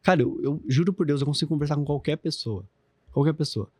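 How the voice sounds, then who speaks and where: Young man, Brazilian Portuguese accent, rapid-fire talker, slangy and profane, dry close sound. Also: fairly high pitch, darkish tone, dry recording. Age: 20s. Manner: slangy, swears freely, heavy fillers. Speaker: podcast guest, business founder. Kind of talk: casual podcast chat.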